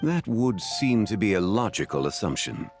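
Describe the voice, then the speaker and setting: A man voicing a dignified, learned antiquities connoisseur in an animated game, formal and composed. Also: on the low side, faint music behind. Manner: formal, measured, erudite.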